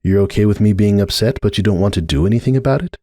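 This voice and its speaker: A man voicing a frank, protective female pony in a dramatic fan fiction reading, probing and insistent, sometimes halting. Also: lowish voice, warm tone, dry recording, clean studio sound, lightly acted.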